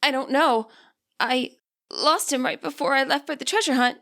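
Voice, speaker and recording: A troubled teenage girl, as a female narrator puts her on, speaks in a high, sharp voice. She sounds guarded and weary, like a young trainer in an audiobook who is holding back her hurt. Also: steady tone, moderate pace. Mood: weary, worried.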